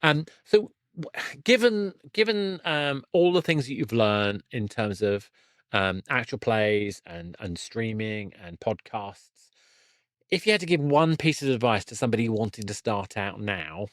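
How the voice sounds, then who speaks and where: A man hosting a casual podcast interview, speaking in a halting way with broken-off starts. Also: lowish voice, expressive, no room sound, clean studio sound.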